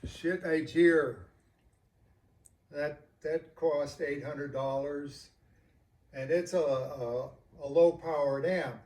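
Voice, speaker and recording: Older male interview guest, higher-pitched yet soft-toned, halting and thoughtful, recorded in an echoey home room. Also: steady tone. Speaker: interview guest, audiophile hobbyist. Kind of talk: casual interview chat. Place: indoors at home listening room.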